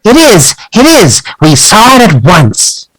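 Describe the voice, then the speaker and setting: A woman's voice: mid-range voice, huge pitch swings, slow pace, slightly rough, no echo, full character voice, poor audio. A woman playing an earnest, pleading young boy in an audio play.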